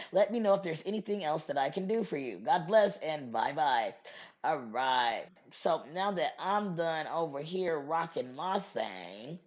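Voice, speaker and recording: A woman's voice: sits low, a little mellow. A woman hosting a podcast in a casual, chatty way. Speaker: podcast host.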